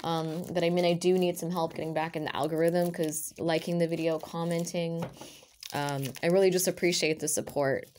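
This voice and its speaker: A woman with a raspy voice and a lingering cough, reading tarot cards to camera in a casual chat and half-whispering card names as she lays them out. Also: fairly low pitch, little pitch movement, moderate pace, darkish tone, a little hiss or hum. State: recovering from a cough. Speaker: tarot reader. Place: indoors at a table with a purple cloth. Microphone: camera over her hands, speaker off-frame.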